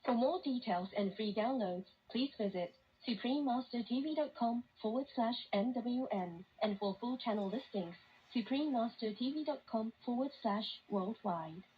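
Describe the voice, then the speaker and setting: A woman's voice: fairly high pitch, normal speed, hardly any pauses, dull audio, a little hiss or hum. A woman reading a scripted announcement over a shortwave radio broadcast.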